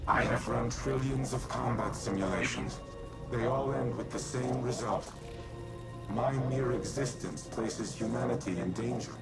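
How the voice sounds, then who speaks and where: An actor playing an ancient male warmind AI, speaking in a measured, formal, grave way with a gravelly voice. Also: mid-range voice. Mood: resolute. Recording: game audio in a reverberant space with loud music.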